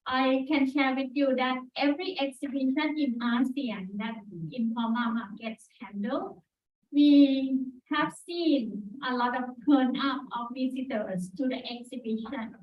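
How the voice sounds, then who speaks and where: A woman from Thailand speaks at a press conference, pausing often with fillers as she gathers her words. Her voice sits a little high, and it comes from some way off the mic. The echoey room and dull sound make it feel captured from across a hall. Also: far from the mic. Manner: halting, searching for words, many fillers. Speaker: exhibition organizer spokesperson.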